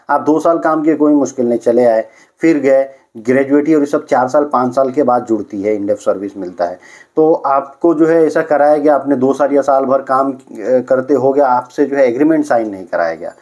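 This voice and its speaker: Male news vlogger, mid-pitched and mellow, conversational and explanatory, English words mixed in. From Uttar Pradesh, India. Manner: explanatory, repeats and rephrases points, mixes in English words. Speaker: vlogger presenting news updates. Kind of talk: conversational news roundup addressed to viewers.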